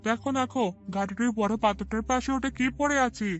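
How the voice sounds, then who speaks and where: A man's voice: very high voice, fairly quick, lo-fi sound, faint music behind. A man voicing a curious, wary villager in a cartoon drama.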